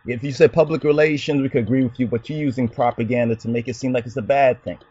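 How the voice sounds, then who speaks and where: A man's voice: medium pitch, steady tone, quick pace, mellow, husky, dry recording, lo-fi sound. Lecturing man, dry and close. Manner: lecturing, rhetorical questions, repeats "you understand". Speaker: channel host answering a commenter. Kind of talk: argumentative commentary monologue.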